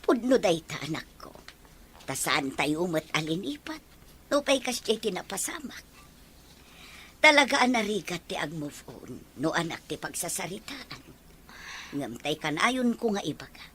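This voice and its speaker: A woman playing an older Filipino mother and grandmother in an audio drama, with an Ilocano accent and an expressive, practical, fussing manner. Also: on the low side, a little slow. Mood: consoling. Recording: background noise.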